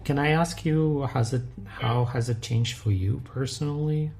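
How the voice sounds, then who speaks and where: A man's voice: mid-range voice, unhurried, a little mellow. A man from Ukraine hosting a podcast and asking a guest a question. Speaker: podcast host. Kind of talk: podcast interview.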